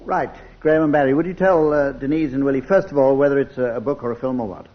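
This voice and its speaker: A man hosting a radio comedy panel game in an unhurried style, in a studio with a live audience. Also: mid-range voice, fairly even intonation. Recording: muffled old radio recording, faint noise.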